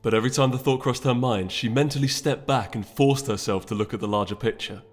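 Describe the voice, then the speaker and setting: A man's voice: mid-range voice, fast. A man narrating fantasy fiction from a script, as an audiobook reader. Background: music.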